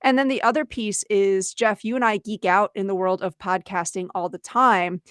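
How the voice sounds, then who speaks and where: A woman's voice: medium pitch, fairly even intonation, normal speed, fairly bright tone, no echo, studio quality. A woman co-hosting a webinar for teachers, explaining conversationally and voicing imagined quotes as she goes. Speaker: webinar co-host, educator.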